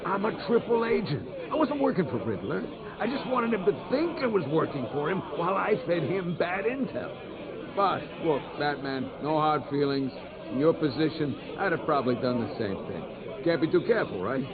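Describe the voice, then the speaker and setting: An actor playing an ingratiating, pleading criminal informant who protests and turns bitter, in a dramatic video game style. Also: male voice, on the high side, very animated, moderate pace, phone-quality sound, over a noisy crowd. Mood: smooth pleading. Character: pleading, wheedling jailed criminal informant, adult man.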